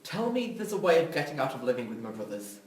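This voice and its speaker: An actor playing an exasperated, aggrieved writer brother in a drama script reading, complaining insistently. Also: male voice, mid-range voice, sing-song, fast, close to an everyday voice. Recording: echoey room, distant, faint noise.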